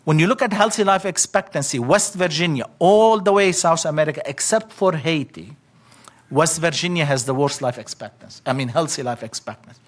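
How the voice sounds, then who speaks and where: A middle-aged man giving an academic conference talk in short, halting phrases with frequent fillers. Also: on the high side. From Lebanon. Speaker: conference speaker. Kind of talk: academic slide presentation.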